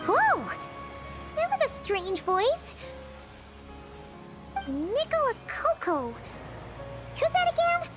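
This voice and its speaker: An actress voicing a tiny, curious childlike sprite companion in a video game, squeaky and inquisitive. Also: female voice, huge pitch swings, slow, long pauses, over music, full character voice.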